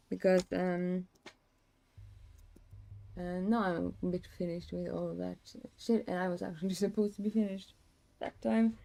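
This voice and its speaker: A young woman, hoarse today, chatting in a rambling, casual way with many fillers and restarts. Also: lowish voice. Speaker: streamer. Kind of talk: casual chat.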